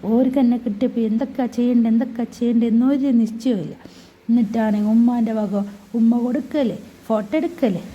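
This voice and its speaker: A woman's voice: on the high side, mellow, breathy edge, muffled recording. A woman voicing a chatty, cheeky newborn baby in a family video voice-over, talking in a sing-song, cooing way with teasing asides.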